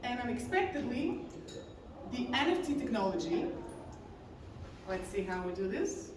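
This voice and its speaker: A woman, giving an earnest, confessional talk from a conference stage and slowing down on key words. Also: a little high, sing-song. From Bulgaria. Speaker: conference speaker. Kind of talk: personal story talk on stage. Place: large conference hall stage. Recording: very echoey hall, distant mic, faint noise.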